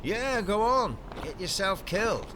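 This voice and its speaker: A hard-bitten operative in an audio drama, with a fairly high male pitch and slow delivery. He is gruff, bitter and commanding, barking curt, profane orders over clear background noise. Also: big pitch swings. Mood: bitter, despairing. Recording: loud vehicle and ambience noise behind.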